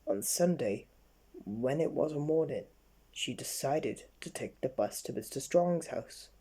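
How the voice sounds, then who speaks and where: Bedtime storybook narrator: mellow, somewhat raspy, homespun reading with stumbles. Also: mid-range voice, animated. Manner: halting reading with slips. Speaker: story reader.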